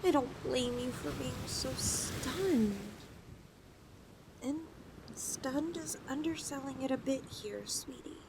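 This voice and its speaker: A woman's voice: high voice, slow, gravelly, background noise, exaggerated voice. A woman playing a possessive, teasing snake girl in an ASMR audio roleplay, with a coy, cooing delivery. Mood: teasing, menacing. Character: possessive, teasing young yandere lamia girl, half snake.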